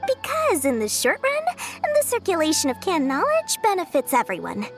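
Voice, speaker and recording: A woman's voice: very high-pitched, wildly swooping pitch, moderate pace, faint music behind, heavily acted. A woman voicing a sly, playful, profit-minded merchant in a video game, with a coy, teasing sales manner. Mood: teasing, insinuating.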